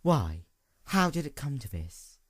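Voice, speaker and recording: A man voicing an ancient, grieving female pony princess in a dramatic story, sorrowful, hushed and restrained. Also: mid-range voice, sing-song, measured pace, no echo, studio quality. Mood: forlorn.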